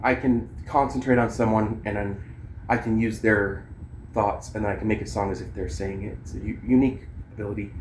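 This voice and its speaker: A man's voice: lowish voice, fairly even intonation, a little fast, darkish tone, a little hiss or hum, poor audio. A man chatting informally and ramblingly to camera, with slangy, sweary asides as he shows things off. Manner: informal, swearing, slangy, talking as they demonstrate gear. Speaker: vlogger and amateur songwriter. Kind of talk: casual rambling chat to camera.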